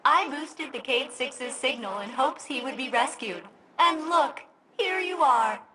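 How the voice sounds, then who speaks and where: A woman voicing a malfunctioning female ship computer in a video game, split between formal cheer and sullen sarcastic deadpan. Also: high-pitched, unhurried, a little hiss or hum, exaggerated voice, low-quality recording. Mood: chirpy, formal.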